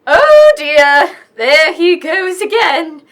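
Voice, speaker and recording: A woman's voice: very high voice, very animated, extremely slow, a bit bright, exaggerated voice. A woman playing a colonel's long-suffering wife in a radio play, patient and drily soothing. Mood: exasperated. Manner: dry, patient.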